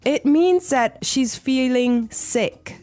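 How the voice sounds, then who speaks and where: A woman co-hosting a language lesson podcast, conversational, clear and instructive. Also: sits high, slow pace, darkish tone, soft background music. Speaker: podcast co-host and language teacher.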